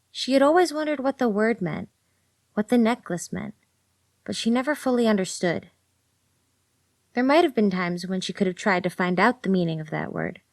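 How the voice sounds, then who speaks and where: A woman reading scripted audiobook narration in an expressive storytelling manner. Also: mid-range voice, no echo. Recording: music playing behind the voice. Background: music.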